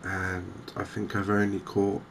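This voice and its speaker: A man giving softly spoken, gentle ASMR game commentary in an unhurried, hesitant way. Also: low-pitched, completely flat delivery, gravelly, background noise. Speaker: host of a cozy gaming channel.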